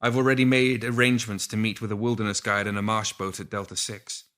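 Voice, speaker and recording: A man's voice: fairly low pitch, level tone, fast, fairly bright tone, lightly acted. A man voicing a haughty, self-possessed woman traveller with formal, curt speech in an audiobook. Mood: cool, formal.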